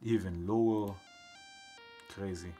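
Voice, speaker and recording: Slow-talking Israeli man over music. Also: low voice, steady tone, dark tone. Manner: thinking aloud, unhurried, trailing fillers. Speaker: live-stream host. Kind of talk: live-stream synth patching walkthrough. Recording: music playing under the voice.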